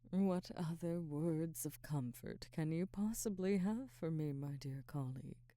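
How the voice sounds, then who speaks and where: A woman playing a middle-aged Hollywood actress, wry, flustered and secretly smitten, in an intimate ASMR roleplay with soft, hesitant murmurs that slip toward whispers. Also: low-pitched, measured pace, dry recording, studio quality. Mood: wry, guarded.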